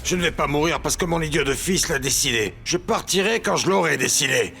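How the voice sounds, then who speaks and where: A man's voice: a little high, lively intonation, fairly quick, gravelly, sharp tone, heavily acted. An actor playing a stubborn, domineering older man in a dubbed melodrama, agitated and imperious, repeating himself. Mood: enraged.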